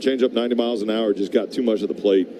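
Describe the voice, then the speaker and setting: A man's voice: on the low side, fairly even intonation, very fast, background noise. An American man giving chatty, emphatic color commentary on a live baseball broadcast, in an informal conversational way. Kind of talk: conversational live sports analysis and banter.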